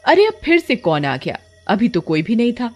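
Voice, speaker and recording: A woman's voice: a little high, big pitch swings, moderate pace, over music. A woman voicing an anxious, superstitious village wife, questioning and exclaiming in a horror story. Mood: puzzled. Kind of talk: melodramatic Hindi horror story acting.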